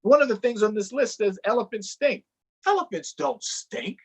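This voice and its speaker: A man's voice: very high voice, moderate pace, husky, pitched above the performer's own voice, full character voice. A man voicing a cute, vain, easily offended young elephant in a children's storybook. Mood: indignant.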